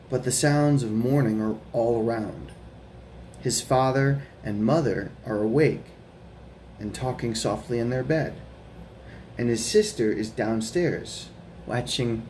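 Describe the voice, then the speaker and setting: A young adult man reading a children's picture book aloud at home, in a gentle storytelling way with chatty asides and questions for the listeners. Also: mid-range voice, slight background noise, rough recording. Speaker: guest reader of a children's book. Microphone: camera mic.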